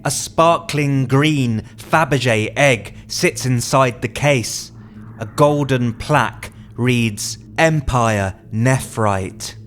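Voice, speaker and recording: A man reading scripted narration as the writer, in a measured, deliberate storytelling manner, describing action in the present tense. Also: mid-range voice, fairly bright tone, slight background noise.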